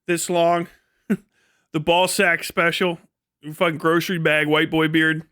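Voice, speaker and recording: A man live streaming, rambling comedically and casually to his chat with lots of swearing, folksy slang and animated, exaggerated storytelling. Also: fairly high pitch, a little slow, clear, resonant tone, no echo, studio quality. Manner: profane, folksy slangy phrasing.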